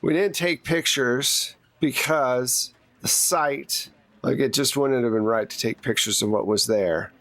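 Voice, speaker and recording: A man's voice: mid-range voice, measured pace, fairly bright tone, no echo. Guarded small-town police chief acted by a man. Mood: guarded, defensive. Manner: plain-spoken, defensive, folksy.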